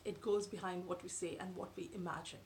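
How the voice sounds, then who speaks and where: A woman in her thirties whose first language is Tamil, talking to camera from a sofa in her living room in a measured, thoughtful way with frequent pauses. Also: lowish voice, flat delivery, a little mellow, a little hiss or hum. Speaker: vlogger. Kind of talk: explanatory talk to camera. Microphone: camera in front at close range.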